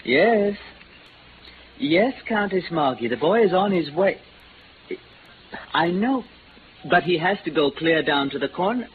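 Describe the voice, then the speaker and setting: Fussy, swooping, obsequious hotel manager. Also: male voice, a little high, expressive, a little slow, long pauses. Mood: fawning. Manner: pompous, flowery, unctuous, hesitant. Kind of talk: 1950s American radio drama character acting. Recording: scratchy, muffled vintage radio recording with hiss.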